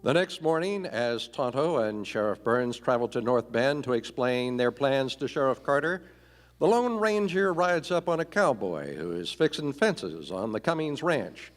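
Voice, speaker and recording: A man's voice: mid-range voice, sing-song, measured pace. A man narrating a live Western radio play from a script on stage. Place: performing arts theater stage. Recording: reverberant theater hall.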